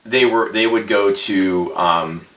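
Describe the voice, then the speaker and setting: A middle-aged man hosts a casual solo talk in a low, bright-edged voice. He reminisces haltingly, wandering off and correcting himself as he goes. He sounds set back from the microphone. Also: slight background noise. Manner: halting, digressive, self-correcting. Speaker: host. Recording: roomy echo, distant microphone.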